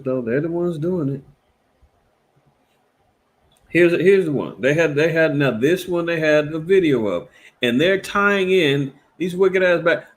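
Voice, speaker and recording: A man speaks in a somewhat high voice. He rambles through casual reaction commentary, animated and emphatic, with lots of repeats and fillers. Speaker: commentary show host.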